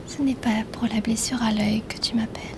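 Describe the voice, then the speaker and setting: A woman's voice: fairly high pitch, flat delivery, noisy background, lightly acted. A woman voicing a mysterious, shrewd mermaid healer in a whispered, intimate ASMR roleplay. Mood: intrigued, probing. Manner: hushed, slow, intimate, teasingly questioning.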